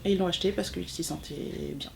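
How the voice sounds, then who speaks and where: A woman's voice: on the low side, a little fast, gravelly, background noise. A woman in her forties from Normandy, France, telling a personal story informally, often searching for words. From southern Manche, Normandy, France. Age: 40s. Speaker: interviewee, textile artist.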